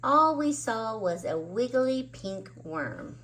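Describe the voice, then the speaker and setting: A woman's voice: fairly high pitch, very animated, slow pace, a bit bright. A woman hosting story time, narrating a children's book expressively with dramatic emphasis on words. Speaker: children's story reader and host.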